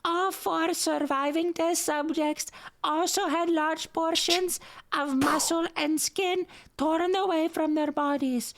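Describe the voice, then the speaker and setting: The tiny squeaky lemur from an animated film, giggly, solemnly reading out horror at a bedtime crawl. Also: male voice, slow, heavily acted. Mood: gleefully creepy. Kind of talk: creepy story narration in cartoon voice.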